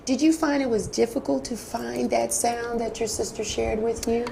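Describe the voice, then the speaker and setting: A woman's voice: on the high side, steady tone, moderate pace, vocal fry, mellow, a little hiss or hum. A woman from Detroit hosting a casual interview talk show, upbeat and enthusiastic. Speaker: host.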